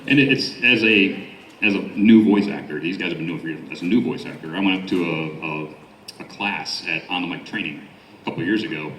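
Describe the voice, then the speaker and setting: A man speaking casually as a panelist at a convention, deliberate in his phrasing and often repeating himself. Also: low-pitched, fairly bright tone, slight background noise. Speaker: panelist posing questions, new voice actor. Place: large indoor convention panel room. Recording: distant mic, echoey room, faint crowd.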